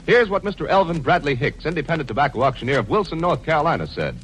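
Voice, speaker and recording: A male announcer delivering an emphatic 1940s radio advertising pitch in rhythmic slogans. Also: sing-song, fast talker, a bit bright. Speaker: commercial announcer. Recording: noisy 1940s radio recording.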